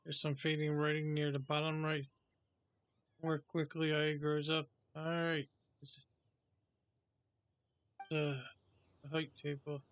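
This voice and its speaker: A man's voice: a little high, monotone, slow pace, long pauses, a bit bright. A man giving casual playthrough commentary, muttering to himself as he plays and reads. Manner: muttering to himself, reading on-screen text aloud. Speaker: video game player.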